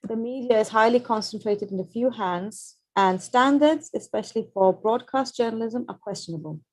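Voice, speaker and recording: A woman's voice: a little high, poor audio. Earnest woman speaking on a webinar. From Pakistan. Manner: earnest, measured, reflective. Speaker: journalist, panel speaker. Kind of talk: prepared webinar talk.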